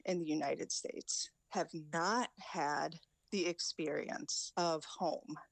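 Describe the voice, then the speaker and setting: A woman with a slightly low voice explains things slowly and deliberately, like an official laying out background. Her voice is recorded close and dry. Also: gravelly, dry recording. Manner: slow, explanatory. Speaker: county official. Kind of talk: explanatory interview answer.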